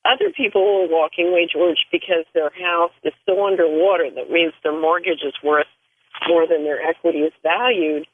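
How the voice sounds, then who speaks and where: A woman's voice: on the low side, fairly even intonation, normal speed, a little hiss or hum. A woman as a radio guest calling in, explaining thoughtfully with some restarts. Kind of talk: phone-in interview. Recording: tinny phone line.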